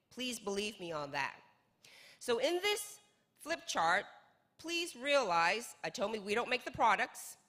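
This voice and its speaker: A woman's voice: a little high, a bit bright. A woman raised in North America presenting a network marketing business, emphatic and full of rhetorical questions. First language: English. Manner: emphatic, repeats key points, rhetorical questions. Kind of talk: sales presentation. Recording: long hall reverb.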